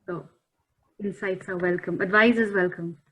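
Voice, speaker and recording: A woman's voice: fairly low pitch, steady tone, moderate pace, fairly bright tone, low-quality recording. A woman, speaking thoughtfully and earnestly as a guest on a talk show. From India. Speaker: panel guest. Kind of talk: reflective talk-show discussion. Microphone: video call.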